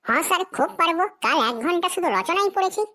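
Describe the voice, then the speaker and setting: A cheeky cartoon schoolboy, voiced by a woman,. He recites his memorized essay in a sing-song chant, sure of himself. The voice sits high and sounds bright and sharp. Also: lively intonation, fast, heavily acted. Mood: cocky. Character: cocky schoolboy who turns every essay into a fox essay. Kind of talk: cartoon voice acting.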